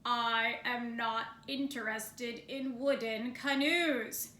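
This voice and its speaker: A pompous, grumpy storybook bear, played by a woman, declaiming slowly and imperiously. The voice is bright and forceful, with a bellowing, huffy air, in a roomy space with echo. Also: fairly high pitch, fairly even intonation. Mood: haughty, demanding. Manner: imperious, bellowing, formal wording. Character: loud grumpy pickle-loving bear, male, huffy and pompous. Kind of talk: children's storybook character voice. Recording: roomy echo, faint background noise.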